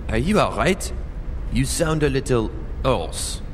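An actor playing a sly, courteous Quebecois police officer with a Quebec French accent in a parody radio drama. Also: male voice, mid-range voice, very animated, unhurried, in a large hall, a little hiss or hum. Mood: sly, theatrical. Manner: theatrical, courteous, drops in French words.

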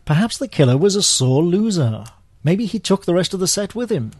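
Droll, lively British gentleman spy. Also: high-pitched, fairly quick, dry recording. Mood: wry, composed. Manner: droll, understated. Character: urbane, wry male British spy, unflappable gentleman agent. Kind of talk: spoof radio spy drama acting.

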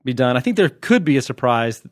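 American male podcast host, mid-pitched, wry and casual critic's banter, dry studio-close sound. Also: sing-song, a little fast, no echo. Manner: wry, joking.

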